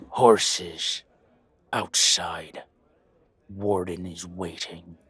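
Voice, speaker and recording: Man doing a deep growling werewolf alpha. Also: a bit bright, heavily acted. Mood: impatient. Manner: clipped, growling, terse. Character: gruff, terse, ill-tempered male werewolf pack alpha. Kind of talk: roleplay audio drama.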